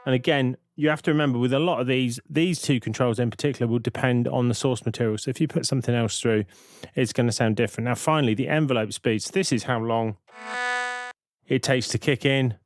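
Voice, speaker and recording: A man narrating a software tutorial in an informal, explanatory way, thinking aloud as he goes. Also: medium pitch, fairly even intonation, fairly quick, background noise. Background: synth and vocoder demo sounds.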